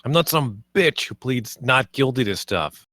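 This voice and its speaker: A man acts out a brash, cocky defendant in a comedy table read. He talks in crude slang at an average male pitch, with a dry sound. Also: animated, a little slow, fairly bright tone, no echo. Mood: defiant. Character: cocky, crude young man awaiting murder trial.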